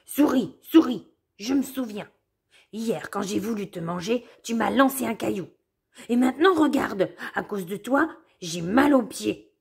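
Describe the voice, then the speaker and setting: A woman's voice: medium pitch, expressive, a little slow, creaky, slightly rough, full character voice. A woman voicing a grumpy male wolf who keeps complaining about his sore feet, in a children's storybook reading. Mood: plaintive. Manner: whiny, complaining.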